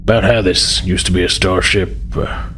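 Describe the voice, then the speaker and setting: A man's voice: deep voice, fairly even intonation, normal speed, fairly bright tone, a little hiss or hum. A man voicing a weary middle-aged castaway in a tabletop game, hesitant and resigned as he explains himself. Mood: resigned, apologetic.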